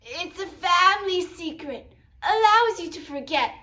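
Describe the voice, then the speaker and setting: A woman's voice: extremely high pitch, lively intonation, measured pace, pushed and bright, voice pushed up, exaggerated voice. A woman playing a secretive, brusque grandmother who instructs her granddaughter in firm, curt phrases.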